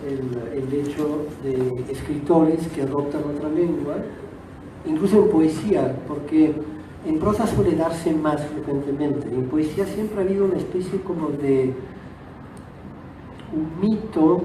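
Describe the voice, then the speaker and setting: A man's voice: fairly high pitch, measured pace. A man whose first language is Italian, giving an unscripted, reflective talk as a guest author, hesitating and rewording as he goes. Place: auditorium at a book fair. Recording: distant echoey auditorium, noisy background.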